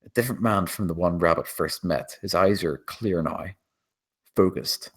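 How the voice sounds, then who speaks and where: A man's voice: low-pitched, normal speed, a bit bright, breathy edge. A man reading scripted screenplay action as the narrator.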